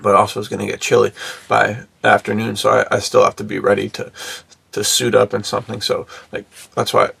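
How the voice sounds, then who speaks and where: A man's voice: fairly low pitch, flat delivery, a little fast, creaky, a bit bright, husky, no echo. A man hosting a podcast in casual chat, talking in a laid-back, slangy way with lots of "dude". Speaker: podcast host.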